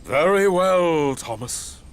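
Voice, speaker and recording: A man's voice: fairly high pitch, lively intonation, slow pace, clean tone. A man voicing a pompous, stern middle-aged railway boss in a children's cartoon, formal and authoritative. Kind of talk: children's cartoon character acting.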